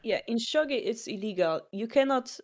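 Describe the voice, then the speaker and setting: A woman's voice: fairly high pitch, expressive, normal speed. A woman with a Polish accent teaching a board game, patient and explanatory, with slightly non-native phrasing. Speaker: shogi teacher.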